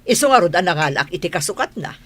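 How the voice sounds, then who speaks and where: A woman playing a haughty, easily outraged wealthy wife in an audio drama, with an Ilocano Filipino accent and sharp, indignant questioning. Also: fairly low pitch, sing-song, quick pace.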